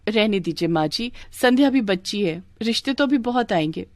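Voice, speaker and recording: A woman's voice: mid-range voice, expressive, moderate pace, dry recording, clean studio sound, close to an everyday voice. A woman voicing a sensible, teasing young sister-in-law in a cartoon, with a wry, reasoning manner. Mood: calm, protesting.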